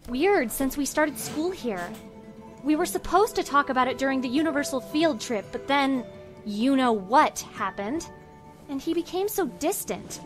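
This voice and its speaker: A woman's voice: sits high, lively intonation, moderate pace. A woman acting an upset teenage schoolgirl hero in an anime parody dub, weary and wry, with music behind her. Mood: hurt, wry.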